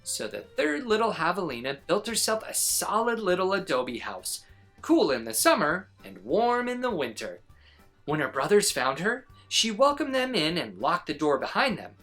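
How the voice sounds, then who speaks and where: A woman reading a children's picture book aloud as an expressive storyteller. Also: on the low side, normal speed, faint music behind.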